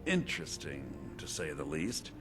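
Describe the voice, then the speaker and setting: An actor playing an eager, oily, greedy shopkeeper in a fantasy video game, with theatrical, ingratiating sales patter. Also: male voice, very deep voice, sing-song, normal speed, music in the background. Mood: sly, confiding. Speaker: general store merchant.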